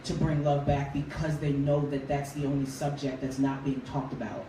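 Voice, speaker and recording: High-voiced man in a roomy studio. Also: normal speed. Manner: relaxed, chatty, laughs easily. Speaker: guest singer. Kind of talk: casual radio interview. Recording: roomy, distant mic, faint noise.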